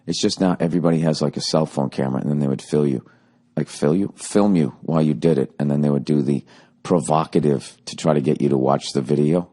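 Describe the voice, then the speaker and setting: A man in his forties with a Boston accent, ranting sarcastically and profanely in a rambling comic monologue, his voice going a little hoarse. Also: a bass voice, fast, dark tone. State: voice getting hoarse. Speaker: podcast host.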